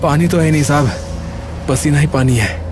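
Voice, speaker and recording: A man voicing an exhausted, worried bus conductor and father in an animated story, pleading in colloquial working-class talk. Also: fairly high pitch, normal speed, noisy background, lightly acted. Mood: resigned, pained. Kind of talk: animated story character dialogue. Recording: loud music behind the voice.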